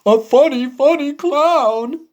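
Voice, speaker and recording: A woman voicing a big, emotional, needy male elephant from a children's picture book, with dramatic, drawn-out delivery. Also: sits high, a little mellow, pitched above the performer's own voice. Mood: dramatic, regretful.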